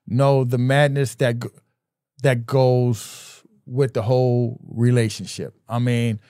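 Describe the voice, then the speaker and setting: A man's voice: medium pitch, flat delivery, slow, mellow, no room sound, studio quality. A man in his sixties, talking earnestly as a podcast guest in casual chat, often repeating himself. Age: 60s.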